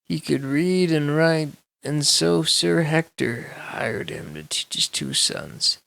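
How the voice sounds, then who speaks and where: A man reading a bedtime story aloud as a storyteller, with measured, dramatic delivery. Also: on the high side, very animated, vocal fry, fairly bright tone, no room sound.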